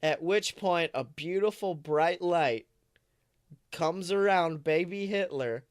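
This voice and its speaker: A man's voice: fairly high pitch, slow, smooth, dry recording, studio quality. A man co-hosting a podcast, improvising a comic story in a rambling, self-correcting, playfully dramatic way. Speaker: podcast co-host.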